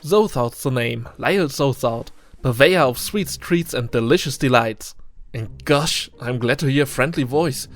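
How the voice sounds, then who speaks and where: A man's voice: mid-range voice, a little slow, natural voice. A man voicing a friendly young travelling candy seller in a storybook, with folksy, slightly unsure salesman patter. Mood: cheerful. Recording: music behind the voice.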